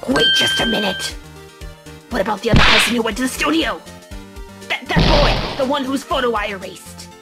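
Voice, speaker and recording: A woman's voice: sits high, huge pitch swings, normal speed. A woman voicing a cranky, combative old woman who rants indignantly in an amateur fan dub. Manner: ranting, indignant, stammering. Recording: loud music behind the voice.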